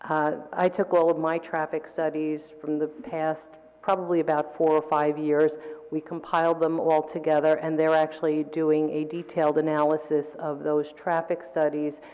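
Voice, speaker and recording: A woman council member with a sore throat gives her reports at a council meeting, speaking in a measured way with fillers and throat clearing. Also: sits low, flat delivery, darkish tone. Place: council chamber. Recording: dull reverberant council chamber audio.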